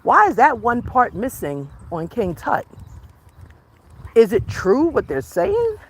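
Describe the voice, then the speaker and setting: A woman from the United States hosts a casual livestream and rambles to her viewers. She talks in a loose, animated way, full of asides. She is recorded outdoors while out on a walk. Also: a little high, slow, slight background noise. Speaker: livestream host. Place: outdoors on a walk, rural or natural setting.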